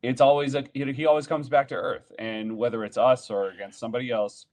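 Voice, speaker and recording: A man's voice: medium pitch, level tone, quick pace, a little mellow. A man in his thirties co-hosting a sports podcast from home over a desk mic, giving his blunt opinions in a loose, rambling way. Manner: opinionated, lots of fillers and restarts. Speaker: podcast co-host. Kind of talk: casual sports chat. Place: home room, indoors.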